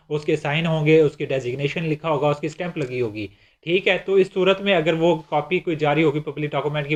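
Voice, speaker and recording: Higher-pitched Pakistani man giving a lecture. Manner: didactic, repeats and rephrases points. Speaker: law lecturer. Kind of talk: explanatory lecture, reading out legal clauses and explaining them.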